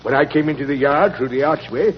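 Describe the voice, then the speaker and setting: An actor playing an old Irish caretaker in a 1940s radio drama, talking in a folksy, emphatic way. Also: male voice, fairly high pitch, fairly quick, slight background noise, lightly acted. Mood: insistent, boastful. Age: older. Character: old Irish caretaker with a wooden leg, excitable storyteller. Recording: muffled, echoing 1940s radio recording.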